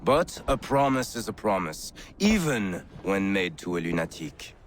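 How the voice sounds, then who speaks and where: Game role: skeptical French sea captain, French accent, very animated melody, dry theatrical wit, light background noise. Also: male voice, low-pitched, measured pace. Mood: wry disbelief. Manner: wry, theatrical incredulity. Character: wry, skeptical French naval commander. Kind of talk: video game cutscene acting.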